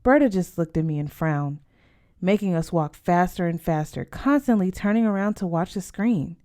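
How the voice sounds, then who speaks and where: A woman's voice: fairly low pitch, lively intonation, moderate pace, mellow. A woman narrating a first-person story as an audiobook reader, in a scripted storytelling style.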